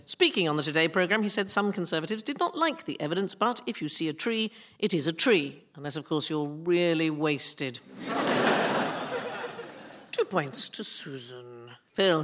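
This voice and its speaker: Low-voiced lady hosting a comedy panel, dry teasing one-liners. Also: fairly bright tone. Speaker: host of a panel show. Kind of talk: hosting a comic news panel.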